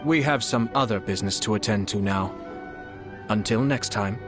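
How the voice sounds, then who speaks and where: Man playing a courteous, low-voiced noble lord. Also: normal speed, music in the background, lightly acted. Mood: reassuring. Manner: formal, eloquent, politely probing. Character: courteous, composed noble young lord, tactful and measured. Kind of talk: video game voice acting.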